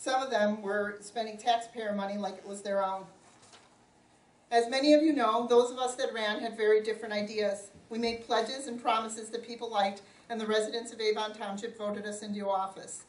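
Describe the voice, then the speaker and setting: Middle-aged woman, loud and plainspoken, slightly high voice, heard from across a roomy hall. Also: steady tone, normal speed. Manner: loud, plainspoken, self-joking. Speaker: township supervisor candidate. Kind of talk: opening statement at a community forum. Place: community meeting room. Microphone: no microphone, picked up from a distance. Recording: roomy, distant, faint room noise.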